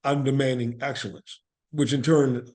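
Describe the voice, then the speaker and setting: A man's voice: mid-range voice, little pitch movement, normal speed, a little mellow. A man speaking as a guest in a conversational podcast interview, telling things in an earnest, reflective way and repeating phrases for emphasis. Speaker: interview guest, actor and playwright.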